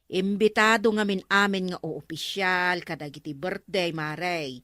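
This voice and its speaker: A woman's voice: on the low side, fairly even intonation, measured pace, a bit bright, no room sound, studio quality. A woman playing a chummy, party-loving village official in an Ilocano comedy drama, drawling and chuckling. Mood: insistent.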